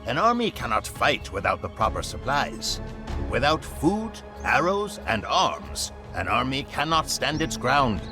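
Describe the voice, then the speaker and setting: A solemn mentor of war in a strategy game. His speech is formal and instructive, addressed to a commander, with lively rises and falls in pitch. Music plays clearly behind him. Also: measured pace. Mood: grave, instructive. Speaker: narrator and tutor. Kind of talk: scripted game cutscene narration.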